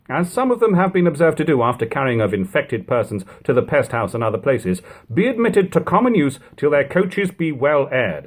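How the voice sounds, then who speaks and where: A man reading an old book aloud in a measured, formal manner, in a lo-fi home recording. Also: a little high. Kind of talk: audiobook reading of an old text with brief informal asides.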